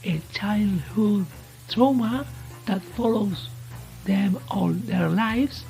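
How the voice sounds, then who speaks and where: A woman voicing an eerie, knowing radio presenter in a horror story, formal and probing, with quiet menace. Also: mid-range voice, drawn-out words, creaky, faint music behind, voice pushed up. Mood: calmly ominous. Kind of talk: late-night radio programme presenting.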